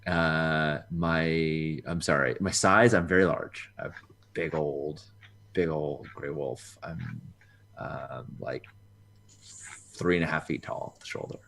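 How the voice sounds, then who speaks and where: A man's voice: rumbling low, takes it slowly, vocal fry, a bit bright. A man playing a tabletop game, talking casually and hesitantly with many fillers. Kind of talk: casual actual-play chat.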